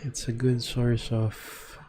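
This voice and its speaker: A man doing casual, low-key livestream commentary on a game, speaking hesitantly. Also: monotone, slow pace, background noise. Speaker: game streamer. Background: game music.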